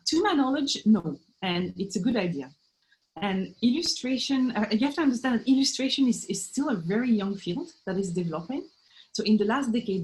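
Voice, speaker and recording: A woman's voice: mid-range voice, darkish tone, low-quality recording. A woman giving thoughtful, explanatory answers in an interview as an academic expert. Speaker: professor of art and literature history, interviewee.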